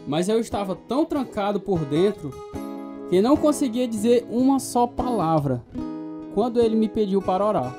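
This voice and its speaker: A man's voice: high-pitched, big pitch swings, moderate pace, music in the background. An actor playing an earnest male new convert, telling his story in a Brazilian radio drama. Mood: reflective narration. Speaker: narrator and lead actor. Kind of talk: scripted radio drama narration and dialogue.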